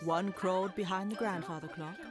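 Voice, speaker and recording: A woman's voice: lowish voice, steady tone, fairly quick. Woman narrating a storybook over music.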